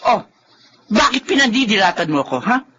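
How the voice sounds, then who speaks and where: A woman's voice: medium pitch, sing-song, fairly quick, pushed and bright. An actress playing a bitter, accusing Filipino mother-in-law in a radio drama, scolding and sarcastic. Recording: dull, noisy lo-fi drama recording.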